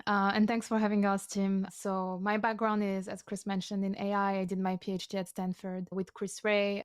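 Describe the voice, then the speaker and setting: A woman speaks as an interview guest in an explanatory, professional way. Her medium-pitched voice keeps a flat, even line with little melodic movement. The recording is dry. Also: no echo. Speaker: startup co-founder, interview guest. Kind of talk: conversational self-introduction in interview.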